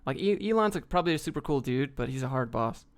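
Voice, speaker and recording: Casual, creaky-voiced man from the US. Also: mid-range voice, lively intonation, quick pace. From United States. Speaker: livestream host answering viewer questions. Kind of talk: casual off-the-cuff Q&A chat, reading questions aloud.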